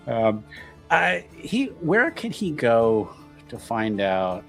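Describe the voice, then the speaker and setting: A man's voice: medium pitch, huge pitch swings, slow, creaky, a bit bright, faint music behind. A man chatting casually as a player in a tabletop roleplaying game, thinking aloud in a hesitant way.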